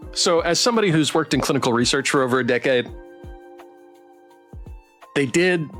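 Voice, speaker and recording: A man's voice: a little high, fairly bright tone. A man streaming a game playthrough, chatting casually, reading narration and thinking aloud. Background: music.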